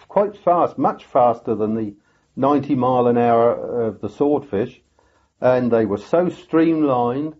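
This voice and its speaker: An older man, a former sailor, recalls a naval battle in a halting interview, often restarting his words. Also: medium pitch, breathy edge, dull audio. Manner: halting, many restarts and pauses. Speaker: veteran sailor recounting a battle. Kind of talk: reflective interview recollection.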